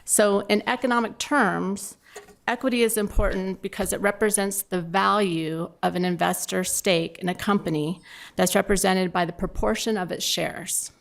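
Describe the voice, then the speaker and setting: A woman's voice: medium pitch, steady tone, moderate pace, gravelly. A middle-aged woman giving informal panel remarks at a conference, talking conversationally and directly to the audience. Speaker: panelist from a municipal water provider.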